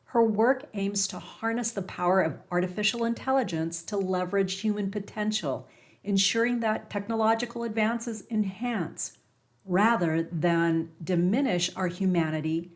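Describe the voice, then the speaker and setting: A woman's voice: mid-range voice, a little slow. A woman hosting a podcast reads out a formal introduction of her guest. She then moves on to asking direct interview questions.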